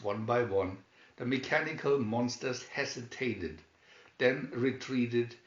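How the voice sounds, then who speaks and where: A man reading a children's adventure story aloud with measured, deliberate phrasing, recorded in an echoey room. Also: medium pitch, lo-fi sound. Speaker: storybook narrator.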